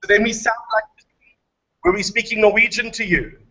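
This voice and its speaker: A man's voice: high voice, steady tone, normal speed, pushed and bright. A man with a South African accent hosting a live classroom video call, informal and directive as he moves people along. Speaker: host, educator. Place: room with students, on a video call. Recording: muffled lo-fi video call with background music.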